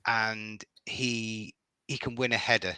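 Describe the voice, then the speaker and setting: A man's voice: lowish voice, level tone, slow pace, pushed and bright, smooth, no echo. A middle-aged man from Liverpool with a Liverpool accent, chatting as a podcast guest, hesitant and often repeating words. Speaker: guest fan. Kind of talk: casual podcast chat.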